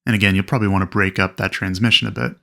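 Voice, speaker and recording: A man narrating an instructional lesson as a flight instructor, explaining things clearly and methodically. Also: on the low side, fairly even intonation, rapid-fire, pushed and bright. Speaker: flight instructor presenting a training video. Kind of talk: instructional narration with acted radio calls.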